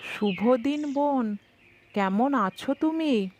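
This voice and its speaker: A woman voicing a sly, hungry, flattering fox in a children's cartoon story. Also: high voice, slight background noise. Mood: coaxing flattery. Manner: unhurried, coaxing flattery. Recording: dull lo-fi sound with music behind. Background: music.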